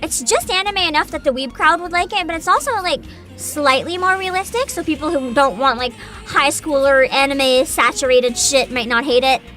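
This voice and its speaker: A woman's voice: high voice, moderate pace, fairly bright tone, soft background music. A woman hosting a livestream, chatting casually and reading bits aloud in a bubbly, enthusiastic manner. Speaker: virtual streamer host.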